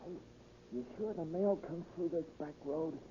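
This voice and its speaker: Dark-toned convict sidekick played by a man, old radio. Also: on the high side, measured pace, natural voice. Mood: watchful. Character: folksy, easygoing male convict sidekick. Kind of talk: 1930s radio crime drama acting. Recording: dull, noisy old 1930s radio recording.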